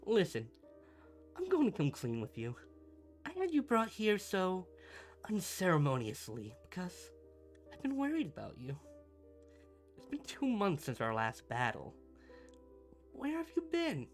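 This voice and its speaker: A man's voice: high-pitched, huge pitch swings, unhurried, long pauses, faint music behind, exaggerated voice. A man playing a pompous, melodramatic supervillain in a scripted ASMR roleplay, a bumbling rival who slips between grand speeches and chatty asides. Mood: chatty, concerned. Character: theatrical, bumbling supervillain, gloating yet secretly caring rival.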